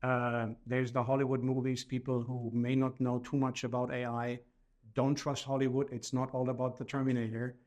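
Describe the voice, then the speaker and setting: A middle-aged or older man from Germany with a German accent, speaking in a formal, measured way as a podcast host. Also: mid-range voice, little pitch movement, darkish tone. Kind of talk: podcast introduction and interview questions.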